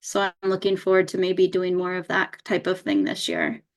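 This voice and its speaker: A woman co-hosting a podcast, speaking in a calm, measured way. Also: on the low side, vocal fry. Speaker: podcast co-host. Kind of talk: casual podcast chat.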